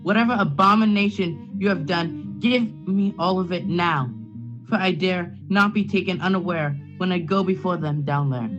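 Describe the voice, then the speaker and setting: A man's voice: high-pitched, moderate pace, natural voice. A man acting an anxious, stern Puritan minister and uncle in a radio play, with formal, urgent, old-fashioned speech. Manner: formal, archaic, urgent questioning. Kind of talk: period stage drama acting, radio play. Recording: dull lo-fi sound with music behind.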